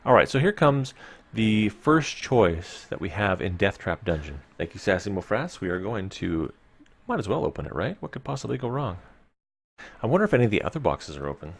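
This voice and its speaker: A man's voice: lowish voice, very animated, a little fast, gravelly, breathy edge. A man live-streaming a read-aloud of an adventure gamebook, mixing storytelling narration with casual asides to his viewers.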